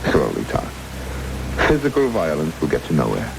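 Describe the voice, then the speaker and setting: An actor playing a suave, menacing villain in a vintage radio drama, speaking with mock courtesy and deliberate, gloating menace. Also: male voice, very deep voice, huge pitch swings, gravelly, over music, heavily acted, poor audio. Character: suave, menacing male villain interrogating his captives. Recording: old radio broadcast under loud rain-like noise.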